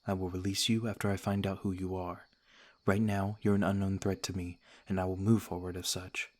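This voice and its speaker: Noble vampire lord, played by a male actor, ASMR roleplay. Low voice, little inflection, polite, careful, measured. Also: little pitch movement. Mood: calm, guarded courtesy. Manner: formal, gentle, measured.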